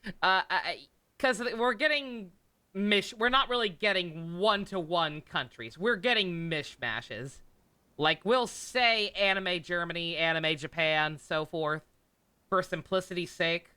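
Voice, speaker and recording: Bright-voiced male streamer, rambling and halting. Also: high voice, clean tone. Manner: rambling, halting, self-correcting. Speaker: game livestreamer. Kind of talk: casual live stream commentary. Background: game music.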